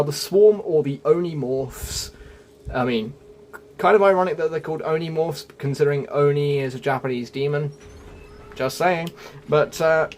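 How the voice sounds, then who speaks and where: A man's voice: a little high, darkish tone, a little hiss or hum. A man narrating a casual gaming guide in a chatty way, addressing viewers directly and musing as he goes. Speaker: gaming video creator.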